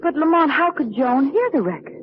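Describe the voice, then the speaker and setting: A woman's voice: high voice, very animated, fairly quick, noisy background. An actress playing an anxious, inquisitive lady companion to a sleuth in an old radio drama. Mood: puzzled. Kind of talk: 1940s radio drama acting. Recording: muffled old-time radio recording.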